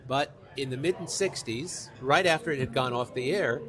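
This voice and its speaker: A man's voice: medium pitch, moderate pace, fairly bright tone. Talkative middle-aged man from New York. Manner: talkative, enthusiastic. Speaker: author, interview guest. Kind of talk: conversational interview, anecdotal storytelling.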